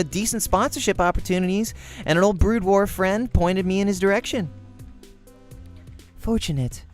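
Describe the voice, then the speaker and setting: A man voicing a brisk, bossy young woman pro gamer in a visual novel, curt, blunt and businesslike. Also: sits high, voice pushed up, lightly acted. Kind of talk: amateur visual novel character acting.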